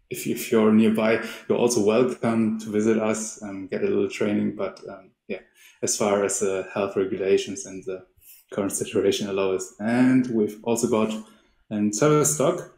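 A man's voice: fairly low pitch, fairly even intonation, normal speed, darkish tone, reverberant, poor audio. A man giving a hesitant webinar introduction with many fillers and restarts. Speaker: company representative. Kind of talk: webinar presentation.

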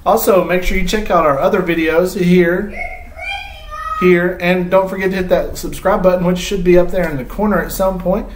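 A man's voice: high-pitched, fairly even intonation, moderate pace, a little hiss or hum. A man in his thirties hosting a children's read-aloud with friendly, casual talk, recorded indoors. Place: small living room, indoors. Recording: roomy indoor echo.

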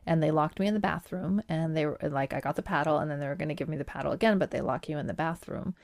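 Low gravelly lady guest, animated storyteller, laughs a lot, wry jokes. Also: fast. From Iran. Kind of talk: casual interview conversation.

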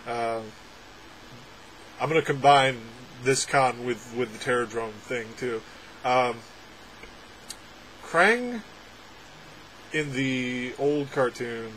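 A young adult man reviewing a film from a room at home, talking sarcastically with animated, exaggerated outbursts. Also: medium pitch, slight background noise, poor audio. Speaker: movie reviewer. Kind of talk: casual ranting film review. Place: home room with packed shelves, indoors. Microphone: webcam framing at desk.

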